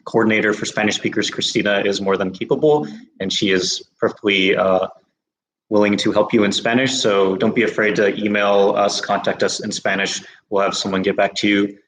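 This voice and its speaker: A man's voice: fairly low pitch, brisk, roomy sound, low-quality recording. American man hosting a live Q&A. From United States. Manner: relaxed, many fillers and restarts. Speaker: host of a study-abroad livestream. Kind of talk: casual live Q&A chat.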